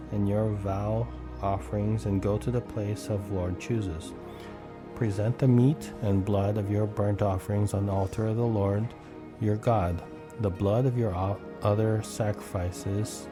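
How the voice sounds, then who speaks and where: A man reading Bible text aloud as scripted narration, occasionally stumbling over the words. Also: on the low side. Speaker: scripture reader.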